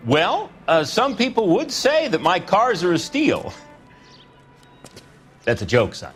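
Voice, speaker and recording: A slick used-car salesman from a video game, pitched a little high and swinging widely as he goes. He is folksy and wisecracking, always ready with patter, with faint music behind him. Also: huge pitch swings, slow pace, full character voice. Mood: jovial sales patter. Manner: jokey, folksy patter, calling others son. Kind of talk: video game character acting.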